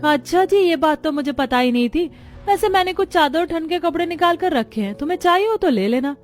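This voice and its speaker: A chatty, helpful middle-aged neighbour auntie put on by a woman in a cartoon tale. The voice is pushed up high, with faint music behind. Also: expressive, fairly quick.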